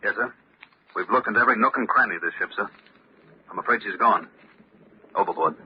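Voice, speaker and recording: An actor playing a dutiful subordinate officer in an old-time radio crime drama, speaking in a formal, clipped manner. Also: male voice, fairly low pitch, fairly quick, close to an everyday voice. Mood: grave. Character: dutiful male subordinate officer reporting to superiors. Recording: very noisy, muffled old radio recording.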